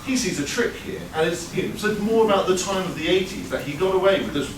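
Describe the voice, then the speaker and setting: A man's voice: high-pitched, quick pace, noisy background. A man in his thirties from England telling a personal story to a live audience, conversational and wry, with plenty of fillers. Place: performance venue before an audience. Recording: roomy live sound, mic far off.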